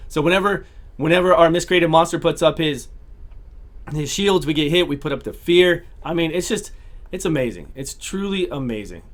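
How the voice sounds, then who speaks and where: A man casually commentating his own gameplay, talking through each battle and repeating his phrases, his voice starting to go hoarse. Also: a little high. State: voice going hoarse. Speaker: gaming channel host.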